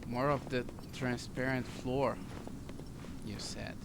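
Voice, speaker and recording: A man giving casual gameplay commentary, muttering in a halting, repetitive way. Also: mid-range voice, flat delivery, noisy background. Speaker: video game streamer. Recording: loud game music behind voice. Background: video game music.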